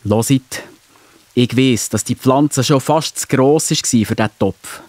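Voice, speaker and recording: A man playing a gentle, patient father in a children's audio play. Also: mid-range voice, slow, dry recording, lightly acted. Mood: mildly stern. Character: gentle, fair-minded father of two children.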